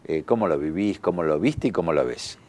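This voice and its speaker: A man with a Buenos Aires Argentine accent hosting an informal interview, with halting, rambling phrasing. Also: low voice, animated, darkish tone. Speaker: TV interview host.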